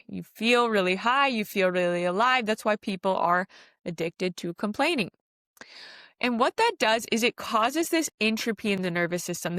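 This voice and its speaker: A woman's voice: medium pitch, fairly bright tone, no echo, clean studio sound. A woman giving an informal spiritual self-help monologue as a podcast host, speaking earnestly and repeating phrases for emphasis.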